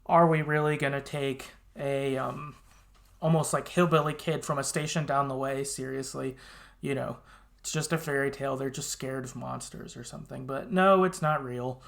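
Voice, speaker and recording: A man's voice: mid-range voice, fairly even intonation, normal speed, gravelly, a bit bright. A man hosting a podcast, chattily recapping a story in informal, sometimes sweary language with loose colloquial asides. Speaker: podcast host. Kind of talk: casual chatty retelling of a game's story.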